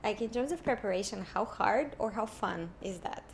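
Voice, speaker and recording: A woman's voice: medium pitch, animated, moderate pace, vocal fry, a little hiss or hum. A woman interviewer asking questions, repeating words as she gropes for them and laughing along.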